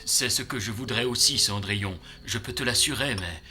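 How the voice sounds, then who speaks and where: A solemn cartoon doctor, fairly low in pitch with a very bright, projected tone. He explains gravely and with care, over faint music. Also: normal speed. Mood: solemn, explaining. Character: grave, caring country doctor. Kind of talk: dubbed children's cartoon acting.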